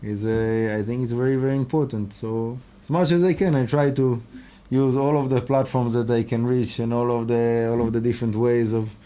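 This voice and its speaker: A rabbi whose first language is Hebrew, answering interview questions in English in a halting, earnest way, often restarting and repeating words. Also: male voice, mid-range voice, steady tone, dark tone. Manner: earnest, devout phrasing. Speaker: rabbi and kabbalah teacher.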